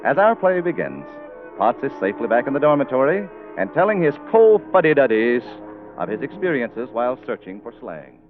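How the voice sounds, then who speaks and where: A man's voice: mid-range voice, big pitch swings, normal speed. A man announcing and narrating a 1940s radio show in a showy, polished scripted style. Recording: muffled 1940s radio broadcast with music.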